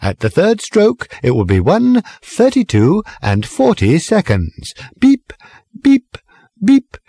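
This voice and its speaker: A man voicing a speaking-clock announcer in an audiobook, with a stilted, measured, sing-song delivery. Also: high voice, huge pitch swings, creaky, dark tone, dry recording, pitched above the performer's own voice, heavily acted. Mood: mechanical, chirpy.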